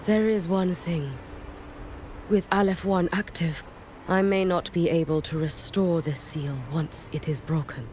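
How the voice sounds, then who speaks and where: A stoic warrior woman played by an actress guards an ancient seal in a fantasy game. She speaks in a low, unhurried voice, soft and grave, with formal phrasing. Faint ambience sits behind her. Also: a little hiss or hum, natural voice. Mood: solemn, measured. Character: solemn, stoic female warrior and seal keeper. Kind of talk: scripted video game voice acting.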